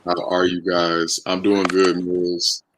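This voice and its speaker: An American man with a deep, low voice hosts a live stream. He talks in a casual, conversational way, like someone answering questions from viewers. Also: completely flat delivery, takes it slowly, fairly bright tone, a little hiss or hum. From United States.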